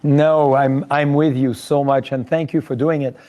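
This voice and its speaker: A man's voice: mid-range voice, level tone, moderate pace, lo-fi sound. A man with a French accent hosting a live show in an effusive, emphatic conversational style. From France. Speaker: host.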